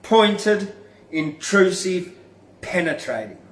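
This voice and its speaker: A man's voice: high voice, big pitch swings, slow, distant mic. A man hosting and performing his own poetry, with casual asides and an informal, blunt manner. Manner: informal, blunt, freely swearing. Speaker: podcast host and poet reading own verse. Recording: roomy, echoing room sound.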